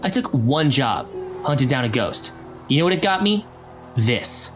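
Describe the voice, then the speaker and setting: A man's voice: mid-range voice, sing-song, unhurried, faint music behind. A man voicing a jaded woman ex-ghost-hunter in a tabletop game, curt, sardonic and profane.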